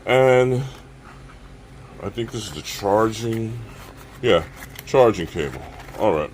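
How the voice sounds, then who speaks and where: A man hosting a casual, unscripted gear review, talking straight to viewers and often checking in with a quick okay or all right. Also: fairly low pitch, animated, noisy background. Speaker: survival channel host reviewing gear.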